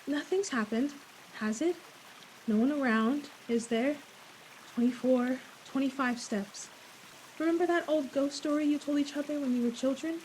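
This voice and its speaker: A high-voiced young woman played by an actress in an old-time radio play, nervous and alone in the dark. She talks to herself with theatrical unease, over a faint hiss. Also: normal speed, natural voice. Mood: nervous self-soothing. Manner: anxious self-talk, theatrical. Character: frightened young woman walking home alone at night. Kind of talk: 1940s radio play acting. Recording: hissy, noisy recording.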